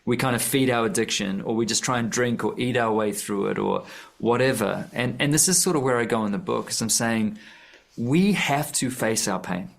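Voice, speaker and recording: A man's voice: mid-range voice, normal speed, creaky. A man, an author and musician, speaking earnestly in a radio interview, often restarting his sentences as he searches for words. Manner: earnest, searching, frequent restarts and self-corrections. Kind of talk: reflective conversational interview. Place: radio studio.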